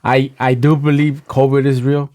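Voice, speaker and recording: A man's voice: mid-range voice, flat delivery, normal speed, dark tone, dry recording. Man, podcast host, stammers and restarts, dry close sound. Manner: stammers and restarts, slips Spanish words into English. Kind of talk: casual storytelling chat.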